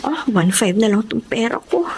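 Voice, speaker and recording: High-pitched, feisty young Filipino breadwinner. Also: female voice, moderate pace, a little hiss or hum. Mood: brisk, practical. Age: 20s. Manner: animated, sassy, emphatic. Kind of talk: expressive radio drama acting.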